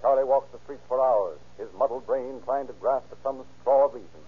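A man's voice: medium pitch, a little fast. A man narrating a radio drama in a solemn, theatrical, old-time style. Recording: tinny old radio recording with music.

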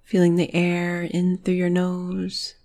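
A woman guiding a meditation in a soothing, breathy manner, with phrases often trailing off into a whisper. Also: lowish voice, monotone, slow pace, darkish tone. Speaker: meditation guide. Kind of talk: guided meditation narration.

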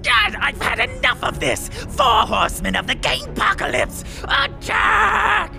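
A man's voice: extremely high pitch, wildly swooping pitch, a little slow, gravelly, pushed and bright, raised voice, faint music behind, full character voice. A man voicing a pompous, easily exasperated paladin villain leader in a comedic cartoon, speaking grandly.

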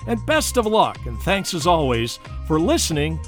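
An American man reads a podcast sign-off in a high, lively voice that moves up and down a lot. Light music plays behind him as he addresses listeners as the host. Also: normal speed. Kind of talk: scripted podcast outro. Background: music bed.

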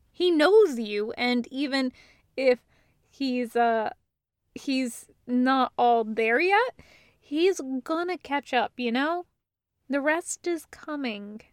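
A woman voicing an earnest, excitable nerdy inventor boyfriend in an audiobook, with a rambling, reassuring, casual way of talking. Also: high-pitched, smooth, dry recording, studio quality. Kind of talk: acted dialogue in an audiobook reading.

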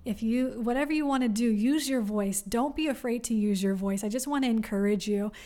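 Young woman, podcast host, mellow and a bit high, unhurried and warmly encouraging. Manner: soft-spoken, gentle and encouraging, with many pauses. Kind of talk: solo wellness podcast talk.

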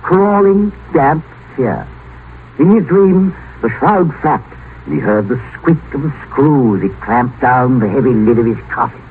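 An actor playing a wry, literary storyteller, narrating dramatically in a 1950s radio play. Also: male voice, medium pitch, expressive, a little slow, a little hiss or hum, natural voice. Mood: ominous. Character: wry, literary male storyteller setting gothic scenes. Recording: tinny old radio broadcast with music.

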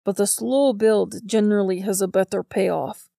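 A woman voicing a gentlemanly male ghost in an audiobook, mischievous and suave as he instructs. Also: medium pitch, fairly even intonation, normal speed, gravelly, no echo, studio quality. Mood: suave, instructive.

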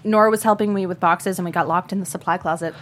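A woman's voice: fairly low pitch, steady tone, fast talker, slight background noise, close to an everyday voice. A woman playing a guarded, sardonic teenage daughter in a script table read.

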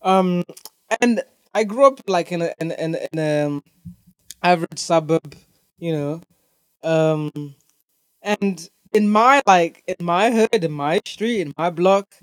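A man's voice: high-pitched, no echo. Young guy from zimbabwe doing a podcast rant, super dry close sound, swears loads, slangy, hammers his points. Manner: emphatic, repetitive, heavy swearing. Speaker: podcast host. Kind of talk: casual opinionated rant, profanity-laden slangy chat.

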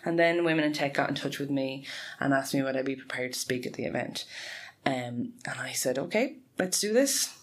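A woman's voice: a bass voice, brisk. A woman in her forties answering interview questions earnestly, often laughing and restarting her sentences. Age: 40s. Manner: earnest, frequent laughs, self-correcting. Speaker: guest, tech support lead.

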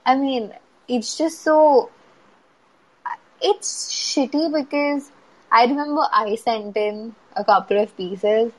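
A woman's voice: on the high side, takes it slowly, rough recording. A woman in casual conversation, talking candidly about writing and makeup, swearing freely and acting out quotes. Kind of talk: casual chat.

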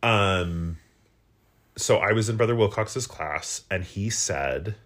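Low-voiced man losing his voice. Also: takes it slowly, a bit bright. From Utah. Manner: candid, profane, storytelling. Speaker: podcast co-host. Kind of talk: casual chat.

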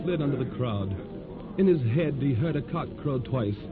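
An actor playing a solemn, poetic narrator of a historical 1940s radio drama, with measured delivery. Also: male voice, medium pitch, animated, a loud crowd, very noisy, close to an everyday voice. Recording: tinny old radio with music.

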